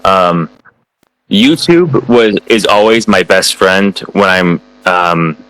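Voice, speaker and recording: A man's voice: low voice, slow pace, a bit bright, clean tone, rough recording. An American man answering students' questions in a casual online chat, hesitant and full of fillers and restarts. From United States. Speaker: guest speaker answering students.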